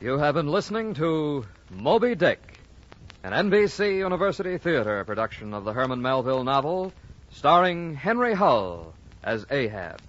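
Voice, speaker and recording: An American man working as a radio announcer, reading a script formally in a 1940s broadcast style with measured diction. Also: medium pitch, a bit bright. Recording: old muffled radio broadcast, faint music.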